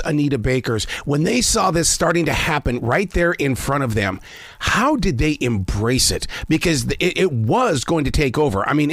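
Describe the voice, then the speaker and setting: Animated American man, higher-pitched voice. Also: no echo. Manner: animated, restless, acts out quoted reactions. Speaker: interview host, mobile DJ. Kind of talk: casual interview chat.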